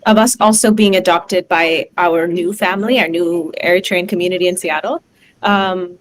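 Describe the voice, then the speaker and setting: A woman's voice: lowish voice, fairly even intonation, normal speed, slight background noise. A woman singer being interviewed, eagerly adding her own thought. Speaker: singer in a music group, interview guest. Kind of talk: interview answer.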